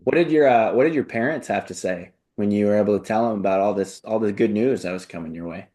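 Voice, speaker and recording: A man's voice: lowish voice, quick pace, dark tone. A man hosting an interview in a casual, upbeat, encouraging and buddy-like way. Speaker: interview host and application coach.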